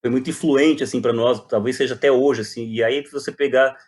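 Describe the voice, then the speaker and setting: A man's voice: medium pitch, fairly even intonation, brisk, darkish tone. A Brazilian man talking casually and reflectively as an interview guest, often repeating words as he searches for phrasing. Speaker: playwright and poet guest.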